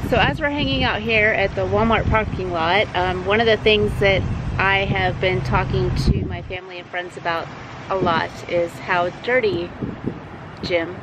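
A woman in her thirties with a light, soft voice, chatting casually into a handheld camera as she vlogs from a van and a parking lot. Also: mid-range voice, fairly even intonation, moderate pace. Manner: chatty, laughing, tour-guide asides. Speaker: vlogger. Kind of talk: casual travel vlog. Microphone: handheld camera mic. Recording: loud outdoor noise.

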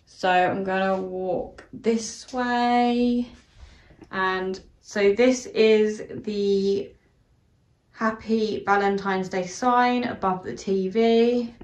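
A young British woman giving a casual tour of her house, chatty and filling pauses with "so" and "um" as she walks from room to room. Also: mid-range voice, fairly even intonation, darkish tone, recorded from a distance. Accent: British English. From United Kingdom. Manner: chatty, apologetic asides, frequent "so" and "um". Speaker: home tour vlogger. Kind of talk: casual walkthrough narration. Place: small house, indoors, walking room to room. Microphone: handheld phone camera. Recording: roomy sound, voice away from mic.

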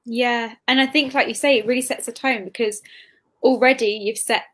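A young woman hosts a casual livestream interview over a video call. Her voice is fairly high, and she speaks informally, feeling her way through sentences. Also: fairly even intonation, normal speed, a bit bright. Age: 20s. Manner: informal, hesitant, often says "like". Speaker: interview host, bookshop assistant manager. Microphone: computer mic on video call.

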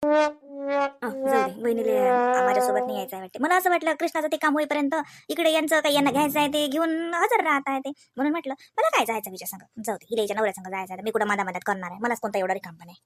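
A woman voicing a homely housewife hostess in a comedy cartoon, polite on the surface and privately sharp-tongued. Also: high-pitched, fast talker, dry recording, lightly acted. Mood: polite, slightly put out. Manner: polite and chatty, with catty muttered asides. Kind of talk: exaggerated cartoon comedy dialogue.

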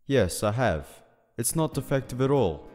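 A man's voice: medium pitch, animated, normal speed, darkish tone, natural voice. An actor playing an earnest, pedantic male scientist with a stiff, formal, hesitant manner in a radio play. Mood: matter-of-fact. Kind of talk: radio play character dialogue. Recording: long reverb, music behind. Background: faint background music.

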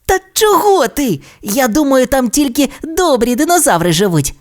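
An excitable little dinosaur boy from a storybook, voiced by a woman. The voice is high and very animated. He is eager, fearless and pushy, forever coaxing and exclaiming. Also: normal speed.

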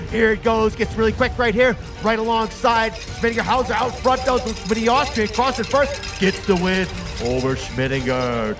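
A man calling ski races play-by-play in quick sports commentary. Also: sits high, lively intonation, music in the background. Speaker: race commentator.

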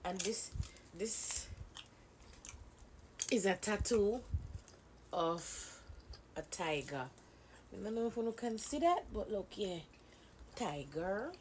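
Young Jamaican woman, unhurried drawl, chewing mid-sentence; bedroom camera, loud wrapper crinkle. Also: medium pitch, big pitch swings, slight background noise. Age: young adult. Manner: chewing while talking, drawn-out teasing repeats. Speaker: vlogger. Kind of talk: casual snack taste-test chat. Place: small bedroom indoors. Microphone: fixed front-facing camera. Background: crinkling snack wrappers.

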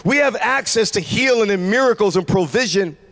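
A man in his forties preaching a sermon in a large church, speaking into a handheld mic with fervent, shouting delivery. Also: high voice, lively intonation, a little fast. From United States. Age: 40s. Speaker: pastor. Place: large church stage with congregation.

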